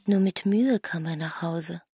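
A woman narrating a literary radio play with deliberate, pausing phrasing. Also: on the low side, dark tone, slightly rough, dry recording, clean studio sound, soft background music.

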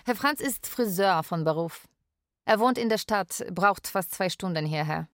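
A woman playing a carer who narrates a daily routine in a radio drama, measured and matter-of-fact. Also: medium pitch, lively intonation, a bit bright, dry recording, studio quality.

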